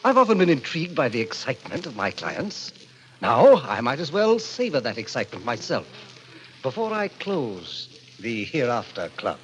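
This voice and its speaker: An actor playing a suave, sinister club president, speaking with formal, urbane condescension in a 1940s radio mystery. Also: male voice, medium pitch, wildly swooping pitch, moderate pace, low-quality recording. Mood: haughty, intrigued. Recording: old radio recording, faint noise.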